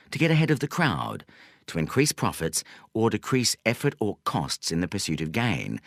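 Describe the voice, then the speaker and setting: A low-pitched male narrator reads scripted nonfiction with expressive rises and falls. His delivery is measured and articulate, with deliberate pauses between phrases. The recording is dry and close to the microphone. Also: no echo. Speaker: audiobook narrator.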